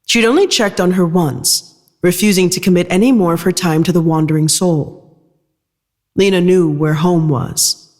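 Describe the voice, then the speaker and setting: A narrator reading scripted audio fiction in a measured storytelling style. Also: medium pitch, a little mellow, long reverb tail.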